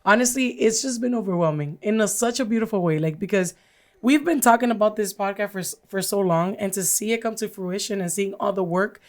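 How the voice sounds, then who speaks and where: Loud, pronounced-voiced woman co-hosting a podcast. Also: medium pitch, brisk. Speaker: podcast co-host. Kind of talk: casual chat.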